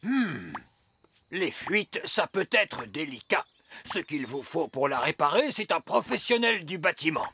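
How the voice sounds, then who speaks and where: A man's voice: on the high side, big pitch swings, gravelly, bright, forceful tone, husky, dry recording, heavily acted. A man voicing a pompous, self-assured old wise elf in a cartoon. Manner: pompous, deliberate.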